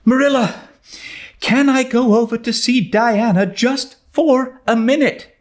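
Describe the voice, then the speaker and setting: A man voicing an excitable, talkative young girl who pleads effusively in a storybook reading. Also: very high-pitched, measured pace, pitched above the performer's own voice. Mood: eager, pleading. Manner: effusive, dramatic, breathless pleading.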